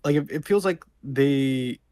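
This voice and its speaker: A man co-hosting a casual podcast, speaking in a halting way as he searches for words. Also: mid-range voice, mellow. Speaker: podcast co-host.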